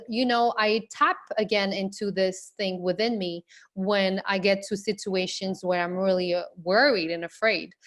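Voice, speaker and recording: A woman's voice: mid-range voice, a bit bright. A woman from Morocco, an online business coach, giving a live online class in an earnest way with frequent fillers and restarts.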